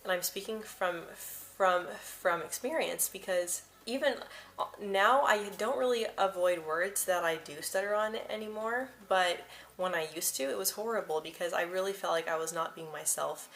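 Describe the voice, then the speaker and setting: Young woman vlogging, stutters a lot, repeats words and first sounds, voice sits a bit low. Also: moderate pace, fairly bright tone. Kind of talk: talking to camera, giving personal tips.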